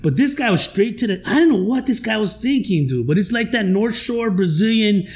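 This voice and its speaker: Man, Hawaii accent, high voice, gravelly, lively slangy storyteller, podcast chat. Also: brisk. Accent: Hawaiian Pidgin-tinged. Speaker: record store owner and podcast co-host.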